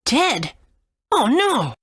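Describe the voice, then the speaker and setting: A woman's voice: sits high, wildly swooping pitch, very slow, fairly bright tone, slightly rough, heavily acted. A woman voicing an earnest, eager young boy hero in a video game. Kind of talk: video game character acting and narration.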